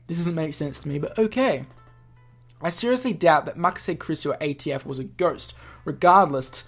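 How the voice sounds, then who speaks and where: A woman doing a casual let's play, reading visual novel text aloud and breaking in with chatty comments of her own. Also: on the low side. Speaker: gaming video host. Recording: game music behind the voice. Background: video game music.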